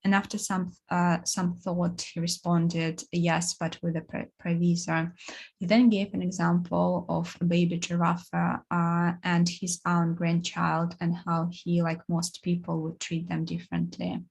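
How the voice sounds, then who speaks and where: A woman talks through a seminar topic in a low, flat voice with a gravelly, husky edge. She halts often, filling gaps with hesitations and restarting her sentences. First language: Russian. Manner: halting, many fillers and restarts. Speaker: course presenter. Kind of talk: academic seminar talk.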